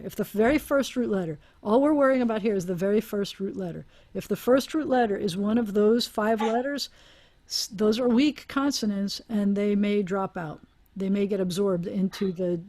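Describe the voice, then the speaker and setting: A woman's voice: mid-range voice, darkish tone. A woman teaching a language class over a video call, explaining aloud with restarts and small laughs. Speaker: Hebrew teacher.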